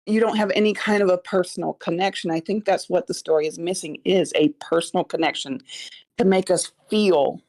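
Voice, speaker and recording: A woman with a slightly low voice explains her critique in conversational speech. She is heard over an online meeting microphone. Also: a little mellow. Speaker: participant giving script feedback.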